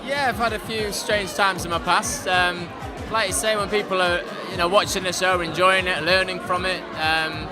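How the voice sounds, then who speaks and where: A man with a slightly high voice answers questions casually at a lively outdoor event. His talk is relaxed and easygoing, with music and crowd noise filling the background. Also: normal speed, hardly any pauses. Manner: relaxed, chatty, fills with "um". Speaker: interviewed guest. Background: music and crowd chatter.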